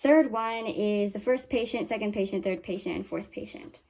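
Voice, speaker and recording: A 12-year-old girl with a squeaky, high voice talks her way through a home science experiment. She chats along, correcting herself as she goes. She sounds set back from the microphone in a small room. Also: normal speed, darkish tone. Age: 12 years old. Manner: chatty, self-correcting, talks through each step. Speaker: young science channel host. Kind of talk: casual hands-on lab demo. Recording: voice from a distance off the mic.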